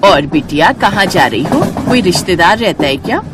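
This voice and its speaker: A woman's voice: on the low side, very animated, moderate pace. Woman voicing a sly, sweet-talking older auntie, noisy recording. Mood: sweetly coaxing. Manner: chatty, coaxing, feigning concern.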